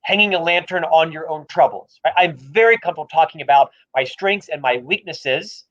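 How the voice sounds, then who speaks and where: A man with a high, light voice and a stutter speaks emphatically and self-deprecatingly. The sound is dull and lo-fi, like a livestream call. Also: a little fast, fairly bright tone. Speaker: author and guest speaker. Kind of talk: livestream interview talk.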